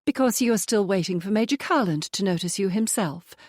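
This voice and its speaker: A gossipy young lady with a sharp, prying manner, pitched higher and louder than the narrator's own voice, as a woman reader puts her on. She needles and teases, and the recording is dry. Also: lively intonation, brisk, no room sound, natural voice. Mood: teasing. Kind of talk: audiobook dialogue.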